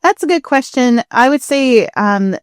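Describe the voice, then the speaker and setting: A woman's voice: sits high, expressive, unhurried, clean tone, dry recording, studio quality. A woman co-hosting a podcast, explaining things in a casual, chatty, informal way with interest in the details. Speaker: podcast co-host.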